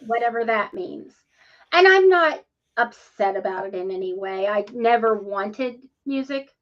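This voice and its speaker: A woman's voice: on the high side, expressive, a little slow. Chatty woman, muffled audio. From Ohio, USA. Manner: chatty, rambling, with many ums. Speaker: podcast co-host. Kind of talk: casual interview conversation.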